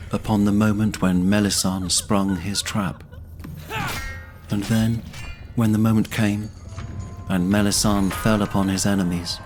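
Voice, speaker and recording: A man narrating a scripted fantasy audio drama in a solemn storytelling manner. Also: fairly low pitch.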